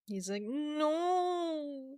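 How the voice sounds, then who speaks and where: A woman with a somewhat high voice takes part in a tabletop game. She speaks in casual roleplay banter, describing and reacting as a player. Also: expressive, drawn-out words, mellow.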